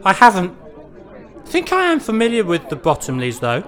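A man's voice: sits high, wildly swooping pitch, normal speed, fairly bright tone, a faint crowd, voice pushed up, full character voice. A man voicing a brash, crude young lad who swears and says mate a lot.

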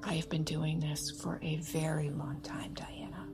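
An actress playing a seasoned, unyielding female therapist in an audio drama, husky and gravelly, with measured, pointed questions. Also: low-pitched, little pitch movement. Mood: probing, firm.

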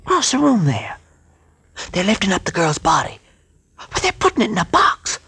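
An actor playing a folksy, excitable young male sidekick in a 1940s radio drama, old broadcast recording. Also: high voice, normal speed, vocal fry, breathy edge, dry recording, heavily acted. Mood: alarmed.

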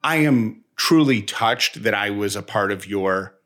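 A man's voice: on the low side, measured pace, a bit bright. A man in casual, reflective personal talk as a co-host, speaking in an earnest, reassuring way in long monologues.

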